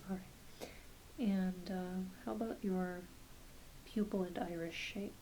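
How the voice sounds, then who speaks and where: A woman playing a gentle, reassuring doctor in an ASMR exam roleplay, speaking softly and hesitantly, often half-whispering. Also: on the low side, takes it slowly, rough recording. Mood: calm, reassuring. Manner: soft, half-whispered, hesitant, apologetic bedside talk. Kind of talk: soft-spoken ASMR medical exam roleplay. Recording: faint steady hiss behind close voice.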